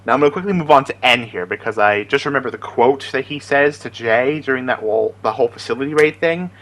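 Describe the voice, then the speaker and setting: A man's voice: medium pitch, fast talker, fairly bright tone. A chatty, enthusiastic, giggly man talking casually as an amateur voice impressionist introducing his character impressions. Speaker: amateur voice impressionist and aspiring voice actor.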